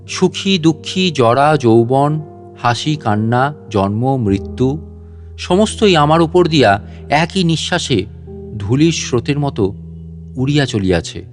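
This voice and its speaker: A man with a medium-pitched voice reads a literary tale aloud. His delivery is expressive and measured, leaving deliberate pauses between phrases. Plucked guitar music plays behind him. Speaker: storyteller, audiobook narrator. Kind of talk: dramatic literary story narration in first person.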